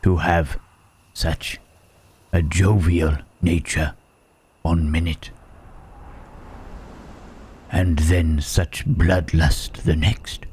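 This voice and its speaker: A man playing a gentle, melancholy Frankenstein's monster in an intimate ASMR roleplay, speaking haltingly and formally in a husky, breathy rasp. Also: low voice, little pitch movement, slight background noise. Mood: sorrowful, wondering. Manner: slow, halting, archaic formal phrasing with long pauses.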